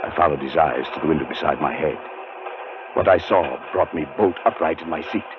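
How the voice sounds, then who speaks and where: An actor playing a haunted, nervous scholarly gentleman, narrating in a brooding, suspenseful 1940s radio drama style. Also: a bass voice, brisk, noisy background. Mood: dread, suspense. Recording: muffled 1940s radio recording with music.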